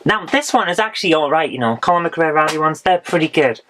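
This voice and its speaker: A woman, hoarse from losing her voice, chatting frankly through a haul of retro games with dry asides. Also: sits low, animated, fast talker, background noise. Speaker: retro game vlogger. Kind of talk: casual game haul review.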